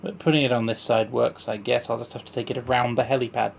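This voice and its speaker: A man with a creaky, gravelly voice at a middling pitch talks himself through a game. His commentary is casual and half to himself, over faint noise and keyboard clicks. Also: steady tone, fast talker. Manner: thinking aloud, muttering to himself. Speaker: streamer playing a park-building game.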